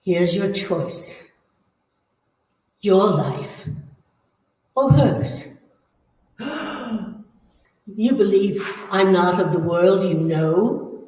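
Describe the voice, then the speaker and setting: An actress playing a menacing, coaxing female ghost hungry for blood, slow and commanding in an old-time radio horror style. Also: medium pitch, mellow. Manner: slow, commanding, taunting, theatrical. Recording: echoey room, far from the mic.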